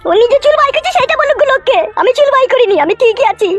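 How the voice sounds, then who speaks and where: A scolding cartoon wife with an extremely high, squeaky voice. She nags very fast, sulky and accusing, heartbroken with jealousy, with faint music behind her. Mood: bitter scolding. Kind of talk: Bengali comedy cartoon dubbing.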